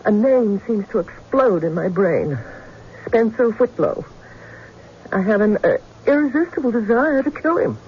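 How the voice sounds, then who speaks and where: A woman playing a tormented, haunted patient in a radio drama, speaking haltingly and intensely. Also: fairly high pitch, expressive. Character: tormented patient describing an invasion of the mind. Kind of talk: old-time radio drama acting. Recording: dull, noisy radio drama recording.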